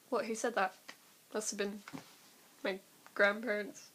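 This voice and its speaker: A woman's voice: medium pitch, flat delivery, takes it slowly, a bit bright. A young woman chatting casually and ramblingly to camera as an amateur vlogger, with a giggly, self-deprecating, informal manner.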